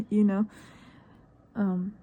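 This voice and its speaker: A woman's voice: medium pitch, fairly even intonation, very slow, warm tone, husky. A nineteen-year-old woman talking to herself in a personal vlog, searching and hesitant, her thoughts trailing off into questions. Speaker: vlogger. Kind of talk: reflective personal monologue.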